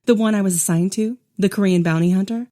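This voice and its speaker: A woman playing a witch preacher who narrates a supernatural western tale in a measured, knowing way. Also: medium pitch, warm tone, natural voice. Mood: knowing, ominous. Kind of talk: scripted in-character book promo narration.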